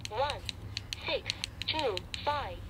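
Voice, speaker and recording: A woman's voice: high-pitched, animated, very slow. A synthetic female voice prompt reading out single digits automatically through a small radio speaker. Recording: noisy handheld radio speaker. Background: noise and clicks.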